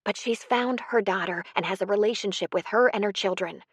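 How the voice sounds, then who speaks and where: A woman's voice: medium pitch, a little fast, husky, dry recording, pitched above the performer's own voice, natural voice. A woman voicing a frank, consoling older woman with an earnest, pleading, emphatic delivery in an audiobook story.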